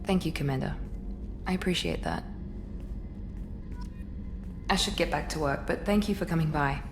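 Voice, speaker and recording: A woman's voice: low-pitched, very animated, normal speed, over music. A woman playing a proud, guarded and self-critical officer in a video game, composed and articulate. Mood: apologetic, grateful. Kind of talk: dramatic video game dialogue.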